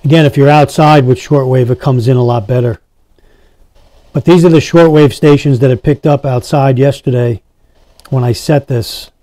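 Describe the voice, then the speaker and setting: A man's voice: medium pitch, fairly even intonation, normal speed, dark tone, no echo. A man giving a casual hands-on product demonstration as a reviewer, talking to his viewers. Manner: addresses viewers as guys.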